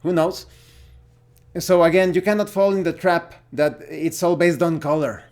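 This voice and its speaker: A man with a Mexican accent gives a casual opinion monologue as a gaming vlogger, speaking haltingly and searching for words. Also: on the high side, steady tone, darkish tone.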